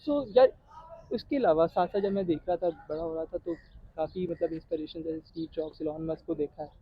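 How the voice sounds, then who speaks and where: A man's voice: on the high side, fairly quick, breathy edge, lo-fi sound. A man in his late teens with a Pakistani accent, talking casually as an interview guest and mixing in English. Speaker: interview guest, young tech entrepreneur.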